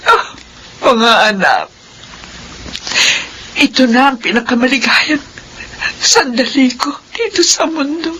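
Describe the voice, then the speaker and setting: An actress playing a frail, tender mother recovering from an operation in a radio drama, with halting, weak speech. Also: sits high, lively intonation, pushed and bright, slight background noise, heavily acted, poor audio. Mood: tender, moved.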